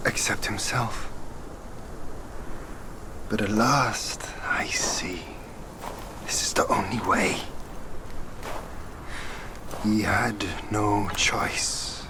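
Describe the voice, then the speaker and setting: A brooding, weary warrior. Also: male voice, fairly low pitch, steady tone, very slow, long pauses, in a whisper, rough recording. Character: weary warrior who hunts the monkey king. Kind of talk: game cutscene voice acting. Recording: loud ambience behind.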